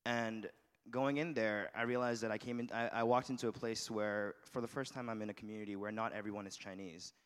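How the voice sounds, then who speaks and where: Young man, halting testimony in a reverberant hall. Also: fairly low pitch, steady tone, smooth. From Malaysia, raised in Shanghai. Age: young adult. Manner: earnest, halting, many restarts. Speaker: student giving a testimony. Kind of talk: personal testimony speech.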